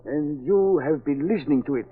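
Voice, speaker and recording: A man's voice: on the high side, fairly quick, soft background music, natural voice. An actor playing a suave, defensive Frenchman with a French accent and formal manner in an old radio mystery. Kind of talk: 1940s radio mystery acting. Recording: muffled old radio recording.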